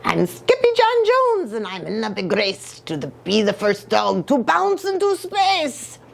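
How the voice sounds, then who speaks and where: A woman voicing an excitable little boy kitten from a storybook, playful and theatrical. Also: a little high, measured pace, gravelly, heavily acted. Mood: excited.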